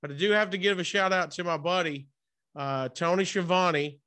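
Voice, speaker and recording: A man's voice: sits high, moderate pace, bright, forceful tone. A man hosting a casual interview, chatting in an informal, rambling, buddy-like way. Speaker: interview host.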